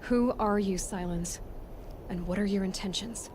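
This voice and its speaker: A woman's voice: medium pitch, unhurried, noisy background, close to an everyday voice. An actress voicing a determined young woman adventurer in a video game, speaking in a direct, questioning and wry manner. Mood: defiant, demanding. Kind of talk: video game dramatic dialogue.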